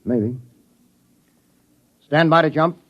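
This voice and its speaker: An actor playing a composed, authoritative spaceship commander giving measured, matter-of-fact lines in a 1950s radio drama. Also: male voice, a little high, a bit bright. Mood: commanding. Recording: muffled old radio recording.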